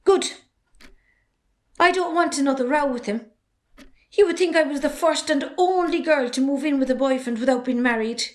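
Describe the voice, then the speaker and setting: A woman's voice: sits high, moderate pace, pauses often, close to an everyday voice. A young woman with an Irish accent playing a forthright, defiant daughter in an amateur drama. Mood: frank, annoyed. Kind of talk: amateur stage drama acting.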